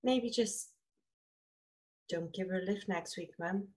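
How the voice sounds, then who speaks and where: A woman's voice: medium pitch, slow, a little mellow, lightly acted. An actress playing a weary, strained adult daughter in a radio play, hesitant and guarded with her mother.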